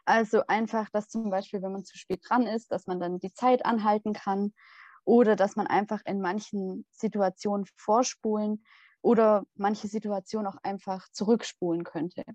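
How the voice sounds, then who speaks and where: A woman's voice: mid-range voice, steady tone, moderate pace, a little mellow, no room sound. A 21-year-old woman, a student co-hosting a podcast, chatting casually and hesitantly with many fillers. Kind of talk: casual chat.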